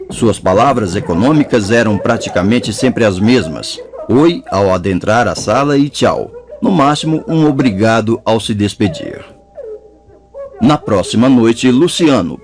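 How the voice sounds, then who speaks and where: A man reading a novel aloud as an audiobook narrator, in a measured, even storytelling manner. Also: mid-range voice, dry recording, studio quality.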